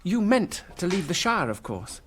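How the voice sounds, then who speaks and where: An actor playing a brisk, cheerful, shrewd young gentleman hobbit in a radio drama, well-spoken and confident. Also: high-pitched, sing-song, a little hiss or hum. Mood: reassuring, knowing.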